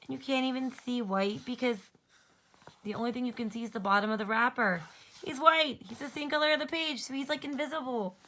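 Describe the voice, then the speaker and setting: A woman's voice: sits high, a little fast, bright, forceful tone, rough recording. A woman teacher doing a children's read-aloud, talking expressively to young listeners and prompting them.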